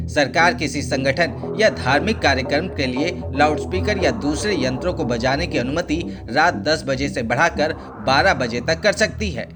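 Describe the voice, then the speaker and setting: A man reading a scripted news explainer in a formal, expository way as a narrator. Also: a little high. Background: background music.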